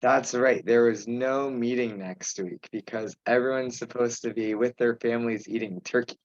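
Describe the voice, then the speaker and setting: A man chairing an informal online meeting, speaking haltingly with pauses mid-sentence. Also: mid-range voice, steady tone, dry recording. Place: remote teleconference. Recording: dull, muffled conference call audio.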